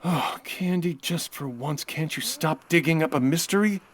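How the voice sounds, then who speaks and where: A man playing a suave, flirtatious homicide inspector in a comedic 1940s-style radio drama, smooth-talking and gently teasing. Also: a little high, moderate pace, natural voice. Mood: businesslike.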